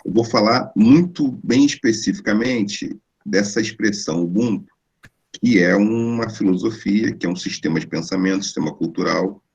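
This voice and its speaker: A Brazilian man in his forties gives a relaxed, conversational lecture over a video call. His voice is very low and husky. The sound is a little dull and lo-fi. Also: moderate pace. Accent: Brazilian Portuguese. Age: 40s. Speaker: guest philosopher. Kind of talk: online lecture.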